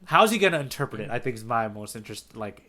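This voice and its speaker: A man's voice: medium pitch, sing-song, quick pace, pushed and bright. A man co-hosting a podcast in casual chat, talking analytically and checking in with "right?". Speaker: podcast co-host who has read ahead, the guide.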